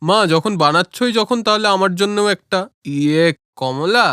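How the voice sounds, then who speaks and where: Man as a high-voiced, eager cartoon villager. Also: expressive, normal speed, bright, forceful tone, smooth, dry recording, clean studio sound. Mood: coaxing. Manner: eager, coaxing, appreciative. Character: cheerful food-loving village menfolk, sons and father, eager, coaxing.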